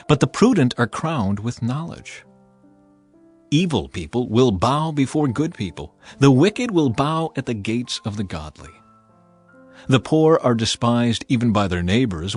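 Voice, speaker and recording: A man reading scripture as a dramatized audiobook narrator, with a solemn, measured and expressive delivery. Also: mid-range voice, slightly rough.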